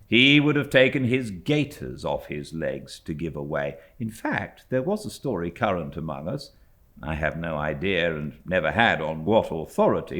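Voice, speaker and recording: British guy narrating like an old novel, really low voice that swings around a lot, theatrical phrasing. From Britain. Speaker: audiobook narrator. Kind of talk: expressive literary storybook reading.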